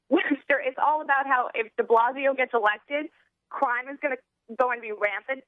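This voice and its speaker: A woman's voice: sits high, normal speed, no echo. An American woman in her thirties co-hosting political talk radio in an informal, chatty, conversational way. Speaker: radio co-host. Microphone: telephone. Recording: narrow-band telephone line on radio.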